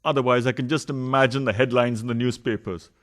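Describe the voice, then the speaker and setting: A man's voice: mid-range voice, fairly even intonation, fast talker, lightly acted. A man acting a pompous, scheming adulterous politician husband in a farcical radio play, with a sarcastic, theatrical delivery. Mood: scheming, smooth.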